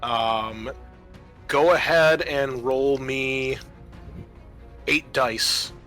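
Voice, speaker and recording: A man's voice: medium pitch, very slow, fairly bright tone, soft background music. A man acting as game master, narrating a tabletop roleplaying game in a conversational way.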